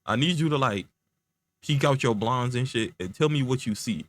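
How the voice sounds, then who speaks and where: Anxious, halting young guy , played by a man. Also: mid-range voice, animated, no echo, pitched below the performer's own voice, natural voice. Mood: uneasy, halting. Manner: halting, slangy, repeats himself. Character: anxious young man pleading for his friend's help.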